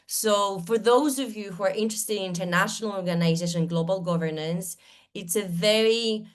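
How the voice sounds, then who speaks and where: A woman's voice: medium pitch, normal speed. A middle-aged woman from Greece, a professor presenting her school's programs in short listed phrases with many fillers. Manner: frequent fillers and restarts, listing in short phrases.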